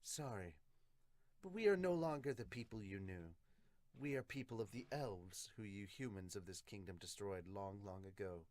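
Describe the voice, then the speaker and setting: A man's voice: fairly low pitch, animated, moderate pace, darkish tone, no echo, natural voice. Man as a solemn, menacing vengeful elf. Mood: cold, vengeful. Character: vengeful cold male elf in a human body.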